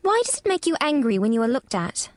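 A high, dry-recorded voice of a young girl in a storybook, performed by a woman. She is frank and inquisitive, speaking with emphatic eagerness and wonder. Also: very animated, quick pace, no echo. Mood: blunt, curious. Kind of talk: audiobook character dialogue.

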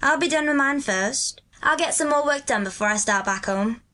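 A woman narrator puts on a kindly country boy who speaks broad Yorkshire, hearty and gentle. The voice sits a little higher than usual with a bright, forward tone. It is a storybook character, full of easy good cheer. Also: animated, normal speed. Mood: friendly. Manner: broad dialect, warm and hearty. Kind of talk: audiobook character reading.

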